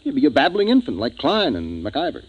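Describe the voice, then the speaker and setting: An actor playing a resolute, authoritative spaceship commander in a 1950s science fiction radio drama. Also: male voice, medium pitch, huge pitch swings. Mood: urgent, persuasive. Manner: brisk, authoritative, urgent. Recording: noisy vintage 1950s radio recording.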